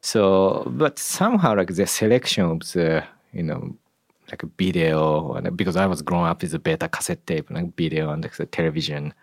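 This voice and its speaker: A man from Japan with a Japanese accent, speaking as an interview guest in a halting way, with lots of "like" and "you know". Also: low voice, expressive, gravelly, no echo, studio quality. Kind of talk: casual interview chat.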